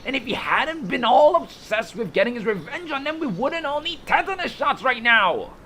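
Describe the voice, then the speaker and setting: Man voicing a high, whiny, rebellious creature. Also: very animated, normal speed, sharp tone, slight background noise, heavily acted. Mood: indignant. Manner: griping, blustering, worked-up. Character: resentful, whiny fantasy creature rallying a mutiny. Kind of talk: audio drama acting.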